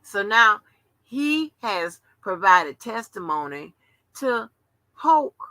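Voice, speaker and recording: A woman's voice: mid-range voice, big pitch swings, slow pace, bright, forceful tone, no echo, rough recording. Chatty woman in her seventies. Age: 70s. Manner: chatty, rambling, salty slang. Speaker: livestream host. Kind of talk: casual late-night commentary.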